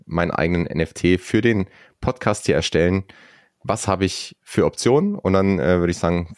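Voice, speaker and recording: Man, podcast host, casual upbeat chat, lowish voice, dry close sound. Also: no room sound. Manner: upbeat, chatty, with frequent fillers and restarts.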